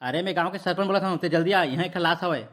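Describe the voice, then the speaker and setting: A woman voicing a folksy, easily flustered village headman, fatherly yet gruff, in a Chhattisgarhi comedy cartoon. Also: fairly low pitch, fast, natural voice. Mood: deferential.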